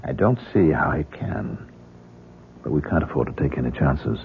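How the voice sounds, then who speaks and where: A very deep-voiced American professor in an old radio play, courteous on the surface and quietly sinister beneath. He speaks in measured, polite phrases with a gravelly edge. The recording is muffled, with clear background noise. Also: animated. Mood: quietly scheming. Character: smooth, courteous, secretly sinister male professor. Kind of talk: old-time radio drama acting.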